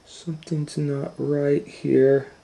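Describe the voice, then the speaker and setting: An anxious little schoolgirl in a children's storybook, played by a man. The voice is pushed up high, whiny and pleading, with room echo around it. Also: level tone, slow pace, creaky, mellow. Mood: timid, hesitant. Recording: roomy echo.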